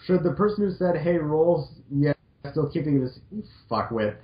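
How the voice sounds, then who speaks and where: A man's voice: a little high, lively intonation, normal speed. A man giving casual, mocking commentary as he reads amateur fiction aloud, sarcastic, incredulous and sweary. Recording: echoey room, dull lo-fi audio.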